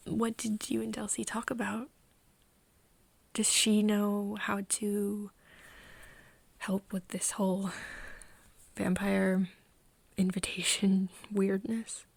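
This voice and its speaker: A woman acts out a tender, nervous young human confiding in a vampire friend in an intimate ASMR roleplay. She speaks slowly and hesitantly, drifting between soft talk and whispering. Her voice has a creaky edge and sits close and dry. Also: medium pitch, no room sound. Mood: curious, nervous. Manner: hesitant, halting, breathy whispering mixed with soft speech. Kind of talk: ASMR roleplay, intimate one-sided dialogue to listener.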